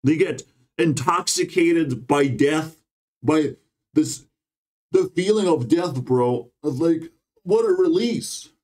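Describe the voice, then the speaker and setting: Casting: man, meandering casual monologue, profane, drops "bro" often, erratic pitch swings. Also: slow pace, a little mellow, low-quality recording. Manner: meandering, swears often, ends phrases with "bro". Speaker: vlogger.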